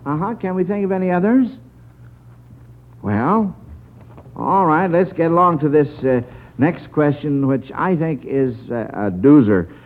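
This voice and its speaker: A man's voice: on the high side, measured pace. A man hosting a live mid-century radio quiz show, genial and hesitant in his phrasing, with encouraging asides. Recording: old radio broadcast, narrow tinny sound.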